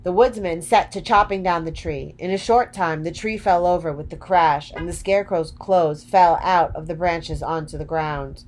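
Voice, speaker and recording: A woman's voice: on the low side, fairly even intonation, moderate pace, hardly any pauses. A woman storyteller narrating a children's storybook expressively.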